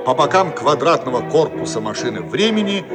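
A man's voice: mid-range voice, big pitch swings, a little fast. A man narrating stage directions for a radio play in a scripted, theatrical storytelling style. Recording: archival radio play, loud music behind. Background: loud music.

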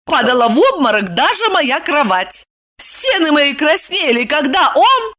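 A female reader voices a cheeky young woman from a comic novel. She speaks high and with very animated pitch. Her retorts are coy, flippant and theatrical. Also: measured pace, exaggerated voice. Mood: teasing, indignant.

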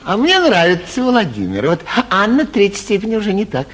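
A man playing a foppish, carefree young gentleman from the capital in a classic Russian stage comedy for radio, chatty and airy with a self-satisfied manner. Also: sits high, huge pitch swings, fairly quick, full character voice. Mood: casual, probing. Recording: muffled, husky 1949 radio theatre recording.

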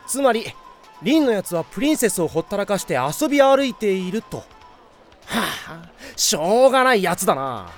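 A man's voice: sits high, big pitch swings, moderate pace. Casting: a cocky young ladies' man for anime audio drama, suave and boastful, swooping melodic delivery, light music underneath. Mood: cocky, playful.

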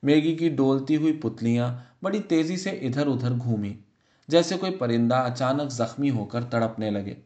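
Male audiobook narrator for literary short fiction. Middle register, measured and expressive storytelling delivery. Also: mid-range voice, dull audio.

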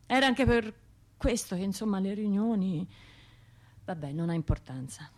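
An actress playing an anguished, guilt-ridden Tuscan woman survivor in a radio drama monologue, halting and arguing with herself. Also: medium pitch, animated, a little mellow, lightly acted. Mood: guilt-ridden, pleading. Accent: Tuscan Italian. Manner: halting, self-questioning, argues with herself.

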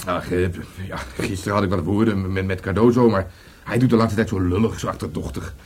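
An actor playing an earnest, touchy police detective who speaks hesitantly in a radio play. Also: male voice, sits low, steady tone, brisk, husky. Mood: defensive, sheepish. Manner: hesitant, stammering under questioning.

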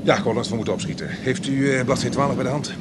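An actor in a Dutch radio play, playing a smooth, mockingly polite male kidnapper and gang boss. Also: mid-range voice, quick pace, natural voice. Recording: noisy old radio play, distant sound.

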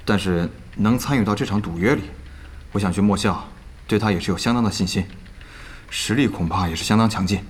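Man playing a low-voiced, earnest guild aide. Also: lightly acted. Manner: measured, reporting analysis. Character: earnest, formal male game guild intelligence aide. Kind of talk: radio drama dialogue.

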